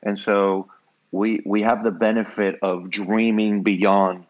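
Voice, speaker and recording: A middle-aged man from Puerto Rico with a Puerto Rican accent, a nonprofit leader speaking calmly and reflectively as a podcast guest. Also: lowish voice, slow pace. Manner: calm, reflective, frequent affirming hums. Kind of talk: relaxed interview conversation. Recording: muffled lo-fi audio.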